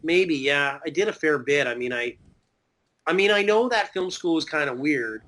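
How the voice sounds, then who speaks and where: A man's voice: a little high, expressive, normal speed, sharp tone. Rambling man in his thirties. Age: 30s. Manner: rambling, swears casually, many fillers. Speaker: podcast guest. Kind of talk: casual chat.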